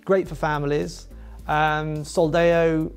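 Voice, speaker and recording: A man's voice: a little high, flat delivery, slow, clear, resonant tone, soft background music. A middle-aged man hosting an interview, friendly and chatty, asking leading questions. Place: indoor studio set with wooden walls.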